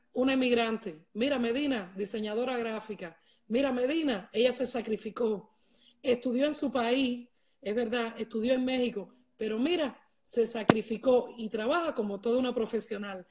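Woman, middle-aged, Cuban accent, fairly high voice, emphatic livestream host, casual, repeats herself. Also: moderate pace. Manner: emphatic, repeats herself, addresses viewers directly. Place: large living room at home. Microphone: wired earphone mic.

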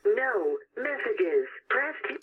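A woman's voice: a little high, very animated, slow, breathy edge. A woman reading formal, segmented automated voicemail prompts over a telephone line. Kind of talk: scripted automated phone menu prompts. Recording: tinny phone line through speakerphone, noisy.

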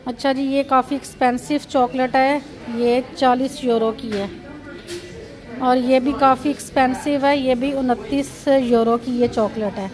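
A woman's voice: sits high, steady tone, a little slow, music in the background, rough recording. A woman from Pakistan, narrating a casual walking tour as a vlogger and pointing things out as she goes, recorded on a handheld phone. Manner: pointing things out, repeating "look at this". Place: chocolate shop and busy shopping street.

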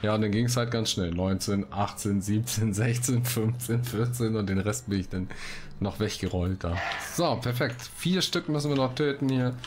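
A man's voice: on the low side, normal speed, darkish tone. A man doing casual let's play commentary, chatty and colloquial, often breaking off into exclamations. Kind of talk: casual gaming commentary, reading quests aloud. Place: room at home. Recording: clear background noise, typing.

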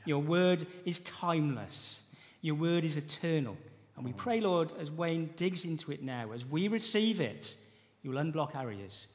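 A man leading a church service, reading scripture aloud in an earnest, measured way and praying. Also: a little high, lively intonation. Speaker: church leader introducing the preacher. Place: church sanctuary with wooden panelling. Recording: long reverb of a church hall.

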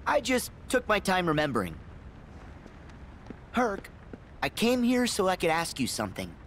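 An actor playing an upbeat, earnest teenage boy hero in an animated fantasy game, eager and sincere. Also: high-pitched, moderate pace, slight background noise, exaggerated voice. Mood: curious, friendly.

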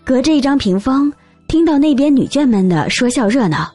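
A woman reading a novel aloud as an expressive, scripted audiobook narrator. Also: high-pitched, fairly quick, no echo, faint music behind.

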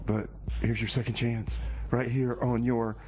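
A man's voice: mid-range voice, little pitch movement, unhurried, darkish tone, slight background noise, low-quality recording. A man hosting a community radio show in a casual, laid-back way, often repeating his phrases.

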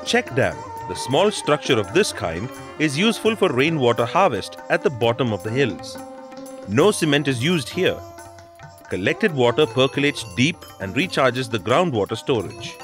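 A man's voice: mid-range voice, big pitch swings, moderate pace, a bit bright. A man reading scripted instructional narration for a documentary. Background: background music.

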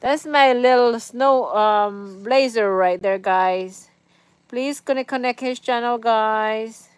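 A Filipino woman you'd find live-streaming from her couch, close and dry in sound, taking her time as she repeats simple, halting phrases to whoever drops in. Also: on the high side, clean tone, dry recording. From Philippines. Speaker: livestream host. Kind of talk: casual livestream chat. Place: small indoor room on a couch at home. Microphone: phone at arm's length.

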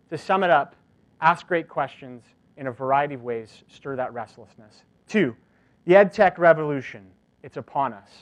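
Guy in his 40s on a lapel mic doing a keynote in a big hall, slightly high voice, chatty and upbeat. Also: highs cut off. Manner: energetic, casual and chatty, many "like" fillers. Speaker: keynote speaker. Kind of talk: conference keynote talk. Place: large lecture hall, indoors.

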